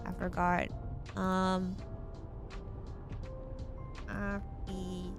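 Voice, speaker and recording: A woman VTuber with a hoarse voice, chatting casually with her stream, rambling and giggling often. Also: fairly low pitch, monotone, very slow, over music.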